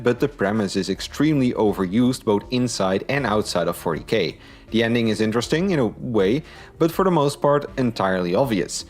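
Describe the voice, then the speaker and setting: A man giving a scripted review in an informal, opinionated way. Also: lowish voice. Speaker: book and audio drama reviewer.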